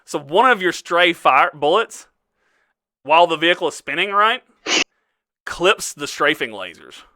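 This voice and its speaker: A man runs a tabletop game as game master. His voice is bright and rises and falls a lot, and he swears casually and laughs often. The recording is dry and close. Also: fairly high pitch, expressive, unhurried, no echo.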